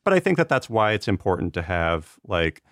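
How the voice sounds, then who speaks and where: A man's voice: low-pitched, sing-song, moderate pace, a bit bright, no room sound, studio quality. An American man in casual conversation, speaking earnestly in a rambling way peppered with "like". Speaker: podcast panelist, TV writer. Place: remote video chat recording.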